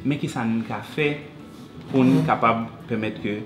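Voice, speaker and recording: A man's voice: mid-range voice, normal speed. A man with a Haitian accent giving interview remarks for a documentary. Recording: echoey room, music bed behind.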